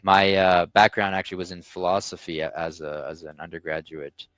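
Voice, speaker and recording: A man's voice: sits low, fairly even intonation, brisk, a bit bright, no echo. A young man, a guest on a podcast, talking casually about his business and life, with many restarts and fillers. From Canada. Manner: talkative, frequent restarts and fillers. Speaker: guest, founder of a restaurant tech company.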